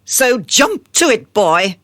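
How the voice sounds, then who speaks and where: A woman's voice: fairly high pitch, big pitch swings, slow pace, fairly bright tone, dry recording, studio quality, heavily acted. An actress playing a haughty, cold female boss in a radio drama, speaking in an imperious, condescending and theatrical way.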